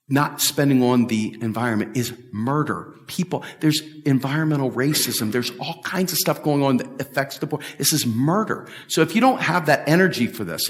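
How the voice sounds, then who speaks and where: A middle-aged man giving an animated, emphatic talk at a policy event, repeating his points and gesturing as he answers questions. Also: medium pitch. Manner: emphatic, repetitive, talks with big hand gestures. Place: large bright hall with windows, standing before a stage table. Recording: echoey hall from far off.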